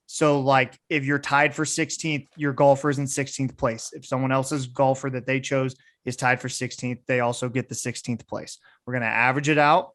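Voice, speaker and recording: A man's voice: mid-range voice, little pitch movement, normal speed, hardly any pauses, a bit bright. A man hosting a podcast, chatting casually and bantering with friends. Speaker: podcast host.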